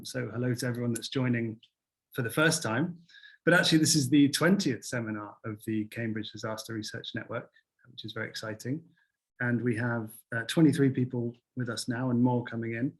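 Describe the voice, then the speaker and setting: A young man hosting an online academic seminar over a video call, relaxed and full of fillers. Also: mid-range voice, moderate pace. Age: young adult. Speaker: seminar host.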